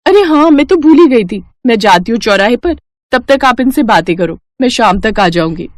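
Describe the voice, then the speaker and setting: A woman playing a bubbly high-voiced young girl. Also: animated, moderate pace, no room sound, clean studio sound, close to an everyday voice. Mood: playful. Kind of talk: cartoon dubbing.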